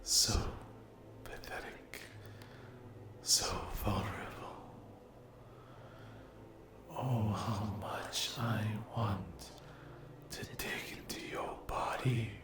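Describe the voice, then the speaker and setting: Man whispering as a very slow, menacing demon. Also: fairly low pitch, fairly even intonation, roomy sound. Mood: menacing, hungry. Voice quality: breathy whisper. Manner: very slow, drawn-out, incantation-like delivery with long pauses. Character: menacing, hungry male sleep paralysis demon, mean and possessive. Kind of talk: ASMR roleplay.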